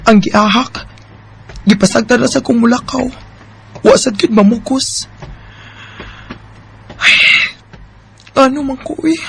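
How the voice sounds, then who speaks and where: A woman's voice: a little high, takes it slowly, gravelly, long pauses. A woman playing an emotive, teasing young woman secretly in love with her best friend in a Bisaya radio drama. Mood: wounded, defensive. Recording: faint background noise.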